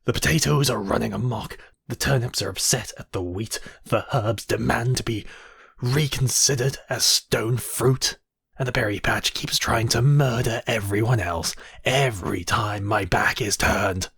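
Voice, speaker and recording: A man playing a commanding, manipulative high mage villain in an ASMR roleplay, deliberate and imperious, with a breathy, husky voice. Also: a bit bright, dry recording, studio quality, heavily acted. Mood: exasperated. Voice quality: breathy, husky, often dropping to whispers. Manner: imperious, deliberate, addressing listener as apprentice. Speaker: voice actor.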